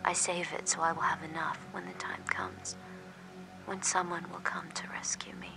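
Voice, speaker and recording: A woman's voice: deep voice, lively intonation, moderate pace, over loud music. An actress playing a weary, guarded captive handmaid, whispering her inner thoughts in an intimate audio drama monologue.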